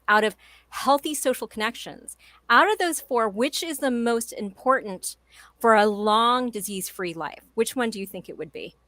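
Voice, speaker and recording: A woman's voice: fairly high pitch, a bit bright, dry recording. A woman in her forties speaking as a guest in an interview, emphatic and enthusiastic in a motivational way. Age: 40s. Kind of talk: conversational interview.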